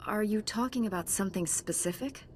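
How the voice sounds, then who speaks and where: A woman playing a noble, self-assured Jedi warrior in a video game, calm and measured. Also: mid-range voice, slight background noise, lightly acted. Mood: composed. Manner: composed, measured.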